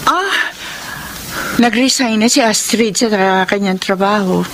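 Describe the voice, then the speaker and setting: An actress playing a loving, trusting but worried wife in a radio drama, affectionate and expressive, with a Filipino accent. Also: female voice, mid-range voice, unhurried, slight background noise, close to an everyday voice. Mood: affectionate, concerned. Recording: music under radio drama voice.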